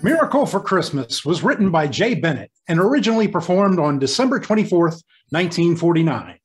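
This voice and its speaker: Male announcer, higher-pitched, lively intonation, scripted radio credits, light music underneath. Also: a little fast.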